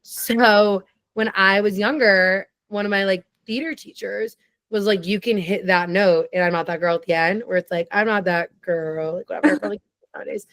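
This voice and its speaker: A young woman guesting on a podcast, chatting eagerly in a low, raspy voice with plenty of vocal fry. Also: fairly even intonation, fairly bright tone, no echo. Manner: chatty and enthusiastic, quick tangents. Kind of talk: casual chat.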